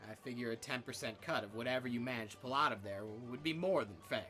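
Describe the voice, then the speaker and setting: An actor playing a sly, folksy scavenger, coy and conversational, in a space-western film. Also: male voice, mid-range voice, fast talker, pushed and bright, soft background music, natural voice. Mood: coy, persuasive. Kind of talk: naturalistic film dialogue.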